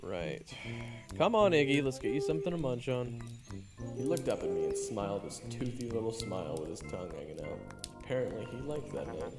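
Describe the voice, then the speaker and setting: A man's voice: mid-range voice, big pitch swings, fairly quick. A man playing a goofy, boastful young human adventurer who tells his own tale in an audio drama, theatrical and joking. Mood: teasing, playful. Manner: theatrical, joking, conversational storytelling. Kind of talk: first-person audio drama narration and dialogue. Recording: echoey, reverberant, music underneath. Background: music.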